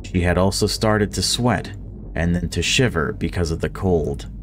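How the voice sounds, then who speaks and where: A man's voice: sits low, moderate pace, a little hiss or hum. A man reading visual novel story text aloud and adding casual commentary as a gaming video creator.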